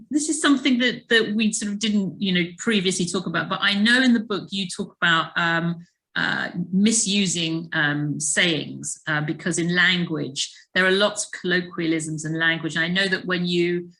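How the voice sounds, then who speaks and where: A woman's voice: on the low side, pushed and bright. A woman interviewing an author, asking long questions hesitantly and rephrasing as she goes.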